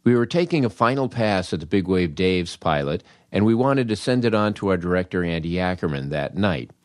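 An older American man hosting a humorous storytelling monologue, wry and animated, acting out dialogue with dramatic pauses. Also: sits low, dry recording, studio quality. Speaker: podcast host, comedy writer.

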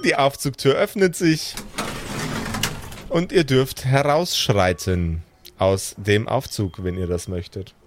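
A man's voice: mid-range voice, fairly even intonation, slow, background noise. A man narrating improvised scenes casually as game master, describing them in colloquial words.

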